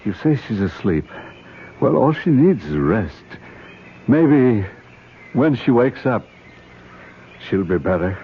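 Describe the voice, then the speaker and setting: An actor playing a concerned older doctor and uncle, deliberate and authoritative, in an old-time radio drama. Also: male voice, fairly low pitch, sing-song. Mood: grave. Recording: muffled, noisy old radio broadcast.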